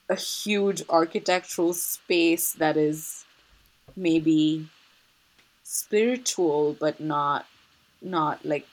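Lady guest talking things through, kinda low voice, lots of little restarts. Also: rough recording. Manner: thoughtful, hesitant, frequent restarts and laughs. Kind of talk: casual conversation.

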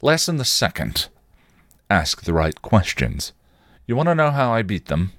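A man's voice: fairly low pitch, sing-song, measured pace, fairly bright tone, no echo, clean studio sound, pitched above the performer's own voice, full character voice. A man voicing a cocky male vampire, taunting and insistent, in a story reading. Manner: provocative, insistent, goading.

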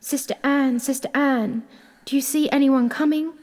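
A woman's voice: very high voice, huge pitch swings, measured pace, voice pushed up, exaggerated voice. A woman voicing a frightened, desperate young bride pleading in a storybook fairy tale. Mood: anxious, pleading. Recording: long hall-like reverb.

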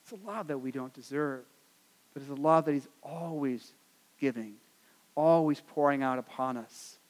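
A man's voice: mid-range voice, moderate pace, big-room sound, low-quality recording. An American man preaching a sermon as pastor, earnest and repetitive, with many fillers and restarts. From United States.

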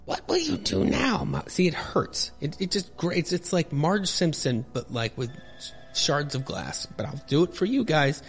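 A man's voice: a little high, lively intonation, measured pace, faint music behind. A man hosting a podcast, giving joking, rambling commentary with mock indignation as he reads a comic book aloud. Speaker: podcast host.

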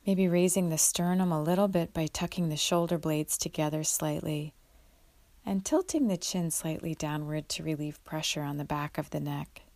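Low-voiced woman leading guided meditation, creaky. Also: fairly even intonation, dry recording. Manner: gentle, unhurried, with long pauses. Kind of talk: guided mindfulness meditation, instructional.

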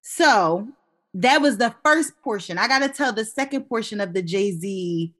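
A woman co-hosts a relaxed podcast conversation. She is animated and laughs easily, with bursts of exclamation between stretches of steady explaining. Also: medium pitch, a little slow. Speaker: co-host. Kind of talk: casual podcast chat.

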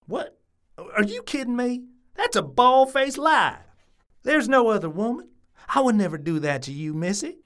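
Earnest suitor, swooping pitch. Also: male voice, big pitch swings, moderate pace, fairly bright tone, exaggerated voice. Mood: indignant. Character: earnest, lovestruck Southern suitor, protective and eager. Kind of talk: audio drama acting.

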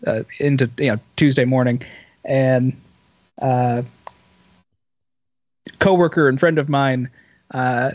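A hoarse man with a raspy voice, chatting as a podcast co-host. He rambles and restarts his sentences as he tells a story. The sound is a bit dull and lo-fi, and the room is dry. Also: mid-range voice, no echo. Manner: rambling, self-interrupting storyteller. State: hoarse, recovering from illness. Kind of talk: casual banter.

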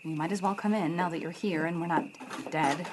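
A woman's voice: fairly low pitch, fairly even intonation, brisk, noisy background, close to an everyday voice. A woman playing an anxious, caring young woman in a radio soap, earnest and worried.

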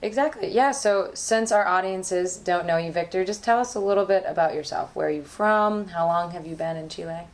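A woman hosting a casual talk show. She asks the guest questions in a friendly, measured and clear way. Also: on the low side. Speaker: podcast host. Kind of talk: casual chat.

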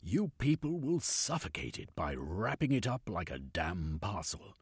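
A man voicing a hot-tempered gentleman adventurer who talks in an irritable, blustering way. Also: low voice, huge pitch swings, a little slow, husky, no echo, clean studio sound, exaggerated voice. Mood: irritated.

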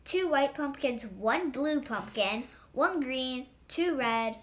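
A female co-host with a fairly high voice chats casually on a podcast. She describes things haltingly, feeling her way through each sentence. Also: animated. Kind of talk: casual chat.

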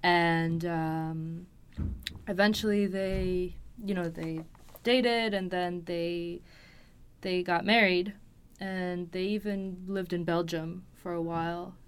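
A woman in a fairly low, very even voice. She tells a personal story as an interview guest, casually and with some hesitation, finding her words as she goes. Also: slow, slight background noise. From Los Angeles, USA. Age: 20s. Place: recording studio.

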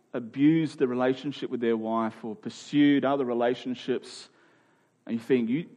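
A man in his forties preaching a sermon, earnest and emphatic, sometimes dropping to a quiet voice. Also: mid-range voice, dull audio. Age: 40s. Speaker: pastor.

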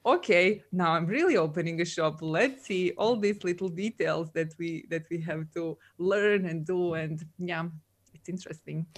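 Chatty woman, lowish voice. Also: normal speed. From Serbia. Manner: chatty, laughs often, many fillers. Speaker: guest, coach and entrepreneur. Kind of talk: casual conversational interview.

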